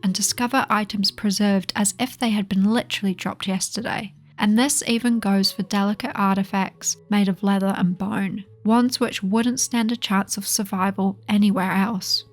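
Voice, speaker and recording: A woman's voice: medium pitch, fairly even intonation, normal speed, hardly any pauses. Woman reading documentary voiceover. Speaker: presenter, narrator. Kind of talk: scripted documentary narration.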